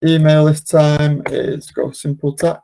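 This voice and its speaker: A man presenting an informal software walkthrough, explaining casually step by step. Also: fairly high pitch, little pitch movement, a little slow, creaky. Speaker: software demonstrator, webinar presenter. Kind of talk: informal screen-share walkthrough.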